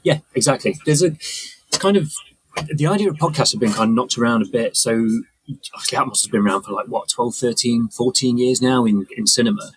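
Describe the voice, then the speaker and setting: A man's voice: mid-range voice, brisk, breathy edge, rough recording. A man explaining things casually as a guest in a conversation. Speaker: podcast guest, audio mixer.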